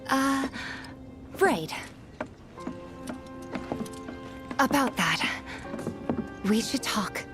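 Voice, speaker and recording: An actress playing a friendly, caring young woman in a video game, speaking gently and conversationally with slight hesitations. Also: high-pitched, animated, extremely slow, heavily acted. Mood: friendly, tentative. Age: young adult. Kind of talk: video game cutscene voice acting. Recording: background music under dialogue. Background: game music.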